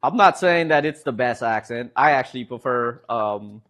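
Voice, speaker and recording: A man's voice: mid-range voice, expressive, moderate pace, fairly bright tone. A man with a Midwestern American accent streaming from home, rambling casually to his chat and laughing often.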